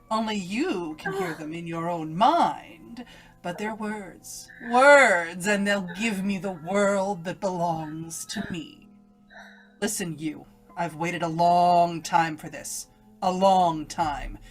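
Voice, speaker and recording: A woman playing an evil, domineering inner voice in an old-time radio melodrama, taunting and commanding with menace. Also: fairly low pitch. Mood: gloating, mocking. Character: evil inner voice, a domineering female alter ego.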